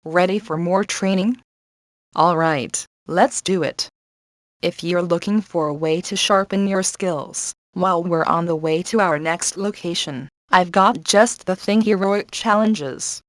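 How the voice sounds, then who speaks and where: A woman's voice: fairly low pitch, a little slow, no echo, natural voice. A confident young adventurer woman played by an actress guides the mission in a cartoon game. She is sassy, wry and encouraging, recorded close and dry with no room sound. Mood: earnest, encouraging. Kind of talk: video game character acting.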